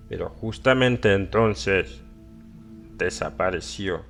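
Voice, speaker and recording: An old man, as acted by a male narrator spills dark secrets in an agitated, conspiratorial way. He rambles like a frightened local in a horror radio play. Faint music sits behind his medium-pitched voice. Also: close to an everyday voice. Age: older. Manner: agitated, conspiratorial, laughing and questioning his listener. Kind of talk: dramatised horror audiobook monologue.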